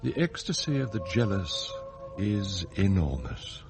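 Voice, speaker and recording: Slow, dramatically swooping scheming butler. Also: male voice, deep voice, very animated, vocal fry, faint music behind, exaggerated voice. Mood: anguished longing. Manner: formal, measured, deferential to employers. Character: smooth, scheming, bitterly envious male butler. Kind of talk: radio drama acting with confiding monologue.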